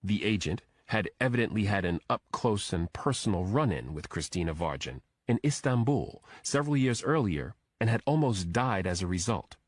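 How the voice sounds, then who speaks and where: A man's voice: on the low side, animated, moderate pace, hardly any pauses, dry recording. A man reading a scripted thriller audiobook as its narrator.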